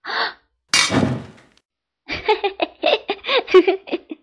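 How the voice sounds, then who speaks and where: A woman plays a young girl with a high voice in a dubbed film drama. She is stubborn and insistent, an older sister who states things flatly and likes to needle. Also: extremely slow, full character voice. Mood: mocking. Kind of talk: dubbed film acting in Italian fandub.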